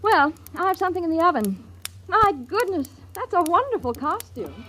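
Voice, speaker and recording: An actress playing a friendly, unsuspecting professor's wife in a 1950s radio drama, chatty and mildly surprised. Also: female voice, very high-pitched, big pitch swings, normal speed, sharp tone, heavily acted. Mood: chatty surprise. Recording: noisy vintage 1950s radio recording.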